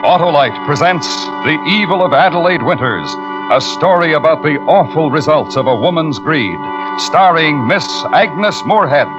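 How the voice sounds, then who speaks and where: A man's voice: on the high side, animated, normal speed, dull audio. A man giving a formal, declaiming introduction as a 1940s radio show announcer. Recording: old radio broadcast with loud music.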